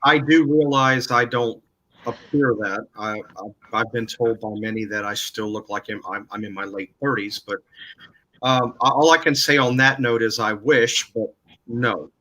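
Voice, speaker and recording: A man in his forties co-hosts a relaxed live chat. His voice is bright and forceful, but he talks hesitantly, restarting his sentences often. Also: mid-range voice, moderate pace, low-quality recording. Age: 40s. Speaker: co-host. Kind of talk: casual live-stream chat.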